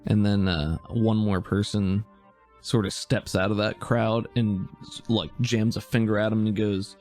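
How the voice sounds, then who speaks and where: A man's voice: on the low side, steady tone, a little slow, darkish tone. Chill guy narrating a tabletop game, lots of ums, faint music under. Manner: laid-back, filler-heavy narration. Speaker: game master.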